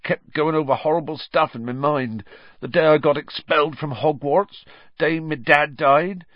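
A man's voice: fairly high pitch, normal speed, dry recording, pitched above the performer's own voice, natural voice. A man doing a sobbing giant gamekeeper. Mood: gloomy. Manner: sobbing, broken rural dialect. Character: huge grieving male gamekeeper, tearful and gruff. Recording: dull lo-fi audio.

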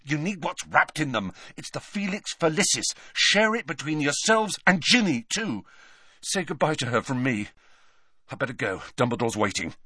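An impatient, stubborn teenage boy wizard acted by a man reading a storybook, pitched up and pressing hard. The delivery is hurried and curt, with big swings in pitch, recorded close and dry. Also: big pitch swings, sharp tone, breathy edge, no echo, heavily acted. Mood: curt, rushed. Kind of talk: dramatised audiobook reading.

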